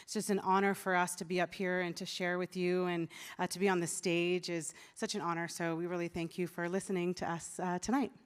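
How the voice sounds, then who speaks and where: A woman's voice: lowish voice, flat delivery, brisk, few pauses, clear, resonant tone. A woman marriage ministry leader from Oregon sharing a testimony on a church stage. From Oregon, USA.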